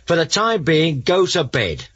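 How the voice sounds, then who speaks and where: Gruff male inspector, animated. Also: on the high side, measured pace, muffled recording. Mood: firm. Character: gruff, weary, sarcastic senior British police inspector. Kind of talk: radio drama acting.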